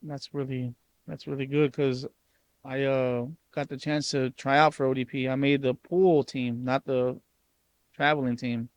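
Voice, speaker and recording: A man with a mid-pitched, fairly flat voice. He hosts a podcast as a youth soccer coach, asks steady questions and turns to encouraging, mentoring advice. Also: a little slow.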